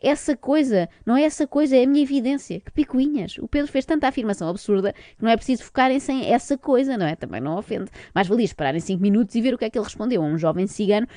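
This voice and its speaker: A woman rattles through sarcastic radio commentary at a very fast clip, with a deadpan, ironic delivery. Her voice is close and dry, with no room sound. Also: fairly high pitch, dry recording. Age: older. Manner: deadpan, ironic, rapid-fire.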